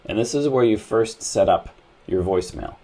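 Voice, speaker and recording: A man's voice: fairly low pitch, lively intonation, normal speed, mellow. A man narrating a casual step-by-step phone tutorial in a plain-spoken, conversational way, as the host of a tech how-to.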